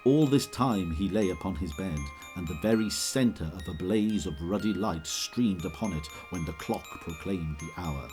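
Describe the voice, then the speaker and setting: A man narrating an audio drama in measured, vivid storytelling. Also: low-pitched, over music.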